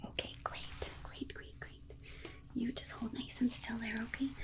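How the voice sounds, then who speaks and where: A woman plays a gentle female alien scientist who whispers in a high, soft register. She soothes and coaxes with repeated little reassurances, as if babying a nervous patient in an ASMR exam. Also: close to an everyday voice, rough recording. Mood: gently fussing. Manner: soothing, coaxing, repetitive, babying. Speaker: ASMR roleplay performer. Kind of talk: whispered ASMR medical roleplay.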